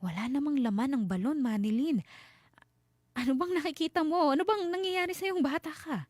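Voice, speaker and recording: A woman's voice: high voice, a little fast, darkish tone, no echo, studio quality, voice pushed up, natural voice. A woman voicing a worried, bewildered old grandfather in a horror story, questioning with exasperated concern. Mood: worried, baffled.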